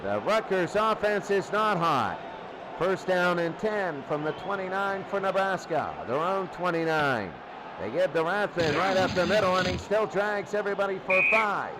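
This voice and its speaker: High-pitched American football play-by-play man. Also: animated, normal speed. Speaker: sports play-by-play announcer. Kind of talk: live American football radio-style commentary. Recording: noisy game broadcast with crowd roar. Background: loud stadium crowd.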